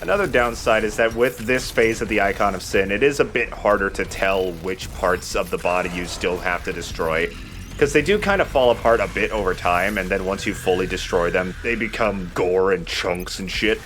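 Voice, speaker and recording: A man's voice: sits low, normal speed, fairly bright tone, a little hiss or hum. A man giving casual, joking gameplay commentary as host and player, with some swearing. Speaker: let's play host and player. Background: video game sounds.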